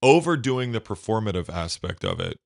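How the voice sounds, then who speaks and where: A man hosting a conversation with a deep bass voice, effusive and emotional as he talks. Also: huge pitch swings, normal speed, gravelly. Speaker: podcast host. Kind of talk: casual conversation.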